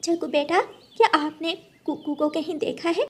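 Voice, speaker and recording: A woman's voice: high voice, steady tone, normal speed, pitched above the performer's own voice, lightly acted. A woman playing a worried, emotional mother sparrow in a cartoon story, with an anxious, pleading way of talking.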